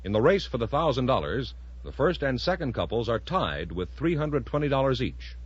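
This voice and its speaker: A man's voice: medium pitch, sing-song, brisk, husky. A man making announcements as the announcer of a vintage radio quiz show. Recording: dull, faintly noisy old radio recording.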